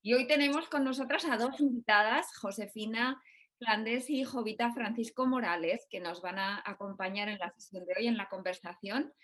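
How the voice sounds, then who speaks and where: A woman's voice: on the high side, moderate pace, a bit bright. A woman hosting a radio program, opening with formal words of welcome and introducing her guests. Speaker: radio host. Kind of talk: show opening.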